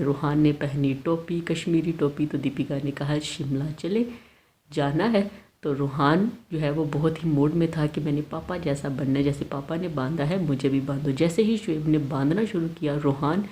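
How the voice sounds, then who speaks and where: A woman narrating a recap of a celebrity family's vlogs, in a steady storytelling way with light commentary of her own. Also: low-pitched. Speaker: celebrity news vlogger.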